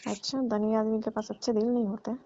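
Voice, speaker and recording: Comic phone skit: complaining, weary maid aunty, played by a woman, slightly high flat delivery, lo-fi call sound. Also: a little fast, close to an everyday voice. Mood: reproachful. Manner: grumbling, reproachful. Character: weary complaining housemaid aunty, aggrieved but familiar. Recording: muffled lo-fi dry phone call recording.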